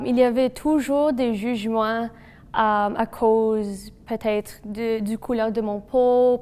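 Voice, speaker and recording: Higher-voiced woman in an echoey room. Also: darkish tone. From Manitoba, Canada. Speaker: interviewee. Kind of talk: personal testimony.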